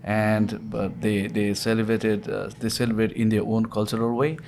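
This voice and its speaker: A man from Nepal, a graduate student, explaining slowly and repeating himself. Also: on the low side, little pitch movement, creaky, a little mellow, slight background noise. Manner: halting, repeats words while explaining. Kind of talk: casual interview.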